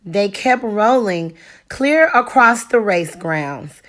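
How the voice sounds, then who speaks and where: Slow-paced woman reading a children's storybook. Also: medium pitch. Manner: deliberate, expressive storytelling. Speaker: teacher reading aloud. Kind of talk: expressive children's picture book read-aloud.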